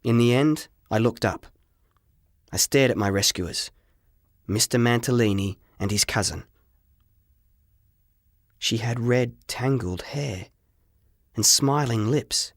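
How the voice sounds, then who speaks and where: Guy reading an audiobook story, takes his time, dramatic and expressive, really dry sound. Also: medium pitch, no echo. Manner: expressive, measured, deliberate phrasing. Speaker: audiobook narrator. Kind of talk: dramatic first-person storybook narration.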